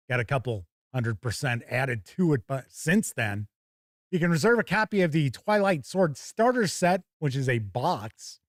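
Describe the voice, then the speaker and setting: A man's voice: a little high, dry recording, clean studio sound. An American man hosting a live tabletop gaming news show, mixing scripted items with casual, theatrical, jokey asides. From United States.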